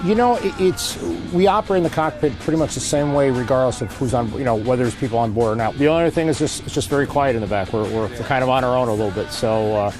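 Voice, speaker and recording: A man who is an airline pilot speaking in a relaxed, hesitant way, with many fillers, in a news clip. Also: mid-range voice, fast talker, few pauses, music in the background.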